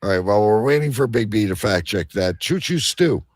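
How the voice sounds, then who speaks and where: A man hosting a bantering comedy quiz, halting as he sets up questions, then reading them out emphatically. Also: fairly low pitch, lively intonation, no echo, clean studio sound. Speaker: quiz host.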